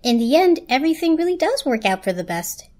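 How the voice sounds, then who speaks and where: A woman voicing an earnest, warm-hearted, plucky toaster in a storybook reading. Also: high-pitched, very animated, brisk, pitched above the performer's own voice. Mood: cheerful.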